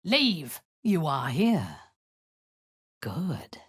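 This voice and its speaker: Low, husky drow warlord, cold and cruel, slow and deliberate, swinging from hissed threats to sharp barked orders. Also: female voice, huge pitch swings, dry recording, studio quality, full character voice. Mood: menacing. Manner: cold commands, often dropping to a menacing whisper. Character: cruel zealous drow woman warlord, commanding. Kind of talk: video game voice acting.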